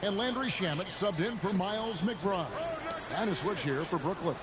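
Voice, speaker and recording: A man's voice: high-pitched, normal speed, natural voice. A man acting as a play-by-play announcer, calling a basketball video game like a TV broadcast. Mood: focused, brisk. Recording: loud basketball arena noise behind. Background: arena ambience and bouncing basketball.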